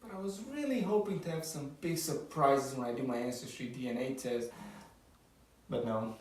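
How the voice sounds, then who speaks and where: A young man from Romania co-hosts a vlog, joking and teasing in casual chat. His voice is mid-pitched and picked up from a distance. The room around him is very echoey. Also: normal speed, slight background noise. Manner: playful teasing. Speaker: vlog co-host.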